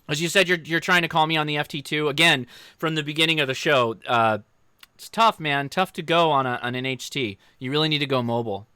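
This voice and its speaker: Male American livestream host, crisp and bright delivery, informal and chatty, speaking straight to viewers. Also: fairly high pitch, a little fast, no echo, studio quality. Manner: informal, joking, addresses viewers directly. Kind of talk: casual livestream chat, answering viewer questions.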